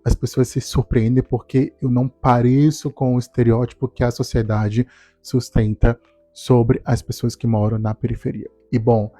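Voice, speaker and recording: A man, telling his life story to camera in a calm, reflective way. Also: medium pitch, no room sound, faint music behind. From Salvador, Brazil. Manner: calm, reflective, measured delivery. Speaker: speech therapist and doctoral student. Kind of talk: personal testimony to camera.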